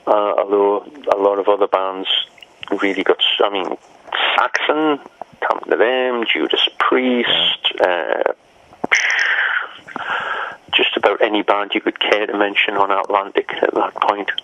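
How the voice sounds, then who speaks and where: A man's voice: fairly low pitch, a little slow, sharp tone, slight background noise. A man in his sixties from England, a musician telling rambling, wry stories with frequent laughs in an interview. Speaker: musician guest. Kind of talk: casual interview storytelling. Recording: muffled lo-fi audio.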